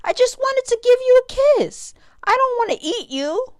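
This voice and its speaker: Storybook young boy shark, played by a woman: eager, earnest, exclaiming, high and very animated. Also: normal speed, no room sound. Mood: eager, pleading. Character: eager, friendly young male lemon shark.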